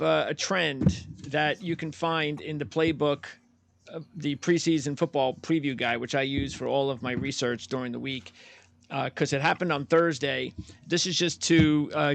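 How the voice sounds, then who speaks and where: A man's voice: a little high, steady tone, creaky, a bit bright, no echo. A man working as a podcast producer, giving channel plugs and reading betting trends in an upbeat way with plenty of uh and um. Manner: upbeat, fills pauses with uh and um.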